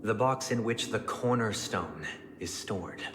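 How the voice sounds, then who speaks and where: A stern and devout man of authority in a game drama. His low voice rings through a long hall reverb with music behind, and every phrase is formal, exacting and coldly polite. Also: expressive, unhurried, background noise. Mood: coolly polite. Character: stern, immaculate, devout male authority interrogating a suspect. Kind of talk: dramatic video game voice acting.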